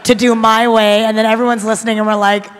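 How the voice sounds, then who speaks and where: A woman who is a guest on a panel, brash and comic, dropping quick asides. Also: on the high side, flat delivery. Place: large theater stage. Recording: noisy theater with crowd.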